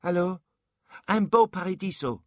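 A man's voice: high voice, moderate pace, a little mellow, no echo, clean studio sound, pitched above the performer's own voice, lightly acted. A man voicing a hyperactive little boy in an audiobook, blunt, bossy and demanding. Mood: eager, curious. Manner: bratty, bossy.

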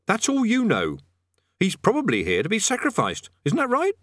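Shrill, bossy talking parrot. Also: male voice, moderate pace, no room sound, exaggerated voice. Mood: smug, scolding. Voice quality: squawking, croaky shriek. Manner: scolding, excitable.

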